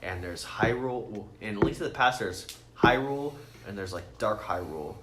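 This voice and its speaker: Low-voiced geeky man in an echoey room. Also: expressive, brisk. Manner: geeky, sweary, rambling explanations. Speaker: podcast co-host. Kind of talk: casual, enthusiastic explainer chat. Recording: roomy echo with faint noise.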